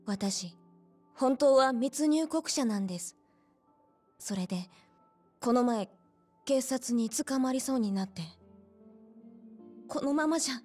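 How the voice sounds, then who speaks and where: A woman playing a gentle, earnest young hostess in a Japanese game cutscene, speaking politely and softly. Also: high voice. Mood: anxious, earnest.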